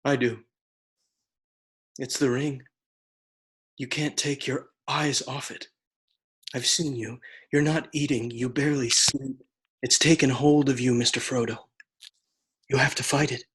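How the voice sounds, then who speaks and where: Loyal hobbit pal, blunt and earnest, slightly husky. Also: male voice, mid-range voice, fairly even intonation, unhurried, pauses often, a bit bright. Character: loyal male hobbit companion, blunt, protective. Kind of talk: film acting.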